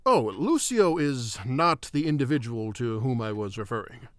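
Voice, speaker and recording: A man playing a thoughtful druid royal and father in a fantasy audio drama, speaking formally and in measured phrases. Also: medium pitch, expressive, no echo, studio quality, lightly acted. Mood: uneasy, guarded.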